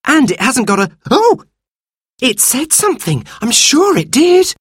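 A narrator voicing an excitable, caring young girl in a children's story, speaking eagerly. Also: medium pitch, measured pace, breathy edge, no echo, studio quality, voice pushed up, full character voice. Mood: excited, urgent.

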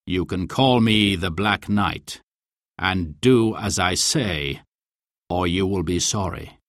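Man playing a low-voiced, stern, regal knight. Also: slow, no echo, studio quality. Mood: stern warning. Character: stern, calm, regal knight-king in storybook.

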